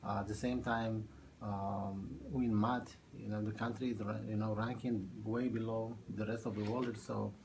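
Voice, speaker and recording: A man in his thirties from Ethiopia, a math teacher answering oral history questions in a halting way with many fillers. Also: fairly low pitch, little pitch movement, vocal fry, a little mellow, a little hiss or hum, low-quality recording. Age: 30s.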